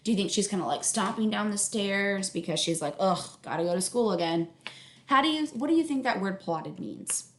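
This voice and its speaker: A woman reading a story aloud like a teacher, pausing to explain and check understanding with young listeners. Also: mid-range voice, animated, a bit bright. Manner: instructional, checks understanding, affirms listeners' answers. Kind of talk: read-aloud narration with questions to young listeners. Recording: echoey room sound.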